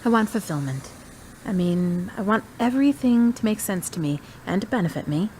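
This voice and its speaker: A woman's voice: mid-range voice, animated, a little fast, dark tone, a little hiss or hum, close to an everyday voice. An actress playing a frank, playful divorced woman in a radio drama, talking in a teasing, direct way.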